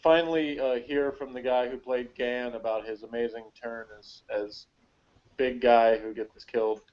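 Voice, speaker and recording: A man's voice: mid-range voice, flat delivery, moderate pace, lo-fi sound. A man co-hosting a casual chat in a joking way, putting on imagined quotes. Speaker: podcast co-host.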